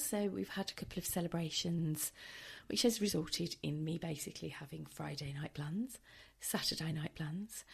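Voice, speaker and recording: A woman hosting a casual solo podcast, chatty and wry, with a tired, croaky voice, recording at home. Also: lowish voice. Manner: chatty, wry and self-deprecating. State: hoarse, tired. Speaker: podcast host.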